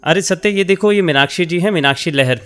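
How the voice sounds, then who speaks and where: Radio play role: warm, talkative senior editor in middle age, conversational and encouraging, faint music underneath. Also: male voice, high voice, fast. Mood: welcoming. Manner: encouraging, conversational, mixes in English phrases. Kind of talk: radio drama acting in Hindi with English phrases.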